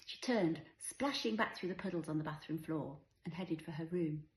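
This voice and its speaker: A woman's voice: low voice, normal speed, reverberant, rough recording. A woman reading her own novel aloud as scripted story narration.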